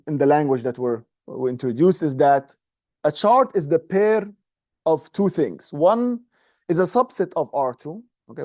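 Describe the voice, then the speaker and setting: A male university lecturer with an Egyptian accent explains math informally to a class. His voice is slightly high for a man yet soft-edged and mellow, recorded dry with little room sound. He often pauses to check that listeners follow. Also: no echo. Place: medium-sized indoor classroom, standing at a presentation screen.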